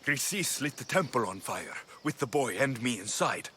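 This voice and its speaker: A man's voice: mid-range voice, very animated, normal speed, pushed and bright, in a large hall, a little hiss or hum. A man playing a fierce, vengeful mercenary hero with an intense, accusing delivery in a video game cutscene. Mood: indignant. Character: fierce, vengeful mercenary hero seeking his lost family. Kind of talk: dramatic game cutscene acting.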